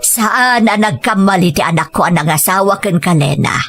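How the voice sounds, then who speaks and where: A woman's voice: mid-range voice, expressive, normal speed, fairly bright tone, soft background music. A woman playing a solemn, secretly caring older mother-in-law in an audio drama, speaking sincerely. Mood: approving.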